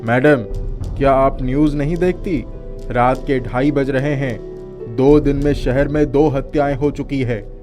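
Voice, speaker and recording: A man acts a shrewd police superintendent in a horror story. His voice sits at a medium pitch, and he talks in a firm, stern, official way. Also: fairly even intonation, measured pace, music in the background, close to an everyday voice. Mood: stern concern. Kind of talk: dramatised horror story dialogue.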